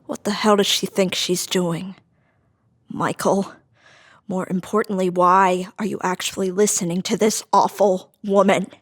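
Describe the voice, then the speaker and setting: A woman's voice: medium pitch, flat delivery, measured pace, lightly acted. A woman playing a manipulative, scornful voice inside someone's head at a drama table read, insinuating and contemptuous. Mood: scornful, coaxing.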